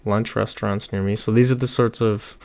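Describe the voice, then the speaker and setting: Low-voiced man, rambling casual tutorial. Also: fairly even intonation, brisk, gravelly. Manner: rambling, informal, muttering to himself while working. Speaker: online marketing tutorial host. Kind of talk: casual screen-share tutorial, thinking aloud. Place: small indoor room at a computer.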